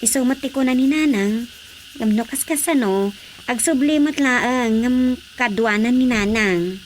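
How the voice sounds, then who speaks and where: A woman playing a high-voiced daughter with news. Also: unhurried, a little hiss or hum, lightly acted. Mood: explaining. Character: grown daughter bringing family news. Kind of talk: radio drama acting.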